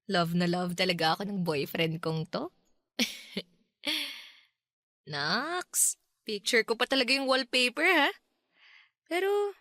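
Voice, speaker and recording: An actress in a radio drama playing an outspoken, emotional young woman, expressive and colloquial. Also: fairly high pitch, no room sound, studio quality. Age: young adult.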